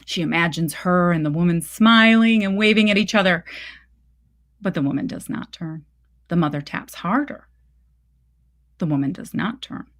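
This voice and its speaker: A woman reading literary fiction aloud as scripted narration, with measured, deliberate phrasing. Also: on the low side. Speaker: reader of a short story.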